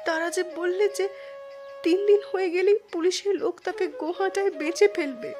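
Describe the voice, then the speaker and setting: A woman's voice: extremely high pitch, steady tone, normal speed. A woman playing a dutiful, anxious ten-year-old village girl in an audio drama, earnest and plaintive. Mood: anxious, insistent.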